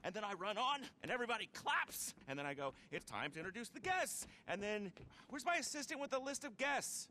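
Very high-pitched, needy showman. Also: big pitch swings, a little fast, sharp tone, slight background noise, full character voice. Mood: petulant. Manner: whiny, showy, pleading. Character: needy, self-important washed-up male TV show host. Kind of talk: video game cutscene acting.

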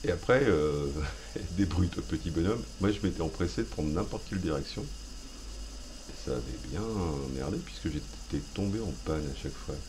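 A man with a deep, low voice talks over a game he is playing. His commentary is rambling and self-mocking, full of playful asides. Game sounds run clearly underneath. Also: expressive, moderate pace, background noise. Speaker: gaming video host. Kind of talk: joking let's play commentary.

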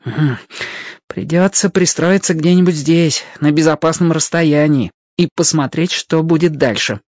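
A man's voice: a little high, a little slow, darkish tone, no echo, voice pushed up, close to an everyday voice. A man voicing a curious, cautious young boy muttering his thoughts in an audio storybook.

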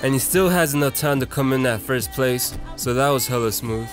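A young man with a mid-pitched voice narrates a video essay. He is casual, slangy and irreverent, talking like he is chatting to a friend, with faint music underneath. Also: normal speed. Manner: irreverent, profane, conversational.

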